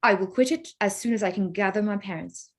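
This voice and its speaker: An actress plays a young gentlewoman in a period drama. Her voice is medium in pitch. She is polite and poised, holding a careful reserve. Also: a little fast, natural voice. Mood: flustered courtesy. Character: poised, guarded young gentlewoman, Lizzie Bennet. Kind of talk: staged reading of period drama.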